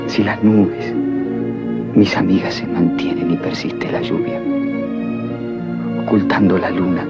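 An actor playing a lovesick, anguished young man, speaking poetically and softly in a 1970s film. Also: sits low, fairly even intonation. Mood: tender anguish. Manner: slow, poetic, hushed delivery. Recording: muffled old film soundtrack under loud music.

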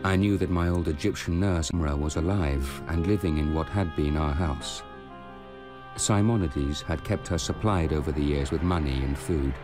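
An actor playing a weary, grieving young Judean nobleman who narrates his past in a radio drama, measured and solemn. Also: a bass voice, over music. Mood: weary, sorrowful.

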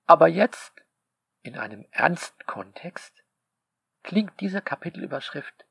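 A man talks to his listeners in a slow, unhurried drawl. His voice is gravelly with fry. He sounds sarcastic and polemical, and his delivery is full of rhetorical questions, recorded dry and close with no room sound. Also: on the high side, sing-song, a bit bright, no echo. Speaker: author reading commentary on his novel. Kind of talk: spoken monologue to listeners.

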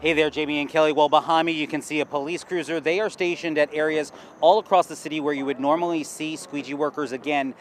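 A man in his thirties giving a TV news field report with a handheld mic, outdoors on a city street at night, in a steady, clipped newscast delivery. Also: on the high side, fast talker, hardly any pauses, soft background music.

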